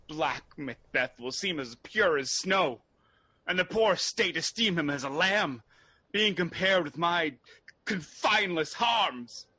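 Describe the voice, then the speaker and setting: Young exiled prince, male actor: anguished and fervent, bright forceful husky voice, halting classical stage delivery. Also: a little high, no echo, full character voice. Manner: halting, theatrical, impassioned delivery. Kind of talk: Shakespearean radio drama acting.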